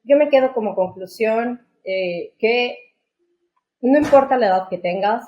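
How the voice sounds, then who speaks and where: Woman co-hosting a podcast, kinda high voice, casual chat, pretty echoey. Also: a little slow, dull audio. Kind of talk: casual conversation. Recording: roomy, echoing sound.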